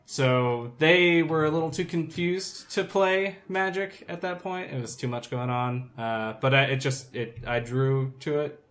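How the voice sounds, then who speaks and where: A man co-hosting a casual podcast chat, speaking in a halting, hesitant way with offhand swearing. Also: fairly high pitch, fairly bright tone. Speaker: podcast co-host.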